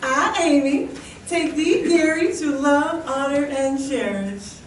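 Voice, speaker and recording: A woman's voice: high-pitched, takes it slowly, slight background noise. A woman playing a Western bride in an old-time radio comedy sketch. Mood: earnest. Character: young frontier bride repeating her wedding vows. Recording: echoey hall, distant mic.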